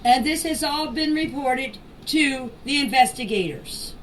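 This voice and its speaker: A mature woman giving an informal spoken statement in a deliberate, halting way, in short phrases, as a voice message. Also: high voice, fairly bright tone. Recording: distant noisy amateur voice clips.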